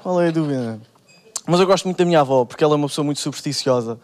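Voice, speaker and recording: A man's voice: fairly high pitch, rapid-fire, darkish tone. A young man with a European Portuguese accent doing a stand-up routine on a TV studio stage, speaking into a handheld mic and acting out the people he quotes in shifted voices. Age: young adult. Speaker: stand-up comedian.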